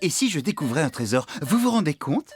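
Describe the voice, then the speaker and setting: Male farmhand, children's cartoon: animated, eager and boastful, over music. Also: fairly high pitch, a little fast. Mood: excited, boastful. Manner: excitable, boastful. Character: eager, excitable male farmhand hunting for treasure. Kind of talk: French-dubbed children's cartoon acting. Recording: music behind the voice.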